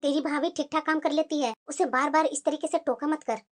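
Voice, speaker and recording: A woman voicing a kindly older mother-in-law who keeps the peace in an animated family drama. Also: high-pitched, fairly quick, lightly acted. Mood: gentle. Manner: gentle, scolding softly.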